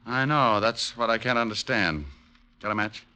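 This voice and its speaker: A man's voice: fairly low pitch, normal speed, sharp tone, clean tone. An actor playing a wisecracking male private detective in a 1950s radio drama, with dry banter. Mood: joking.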